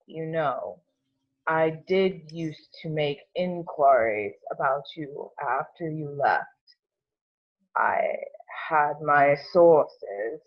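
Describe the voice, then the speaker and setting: A man playing a subdued, laconic older man in an amateur table read, speaking in a halting, terse way. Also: a little high, lively intonation, highs cut off, exaggerated voice.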